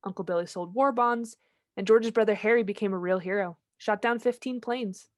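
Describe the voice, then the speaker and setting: A woman plays a knowing celestial storyteller in an old-time radio drama. Her pitch is average, and she narrates conversationally, as if briefing a junior colleague. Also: normal speed, natural voice. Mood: matter-of-fact.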